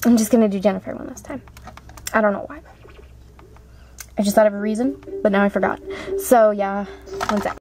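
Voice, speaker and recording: A woman's voice: medium pitch, fairly even intonation, fairly quick, pauses often, darkish tone. A woman chatting casually and apologetically to online viewers as an amateur voice auditioner. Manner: self-deprecating, apologetic.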